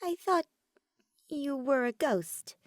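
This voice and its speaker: A woman's voice: high voice, expressive, slow, no room sound, clean studio sound, voice pushed up. A woman voicing a timid, easily frightened young woman mage who stammers nervously.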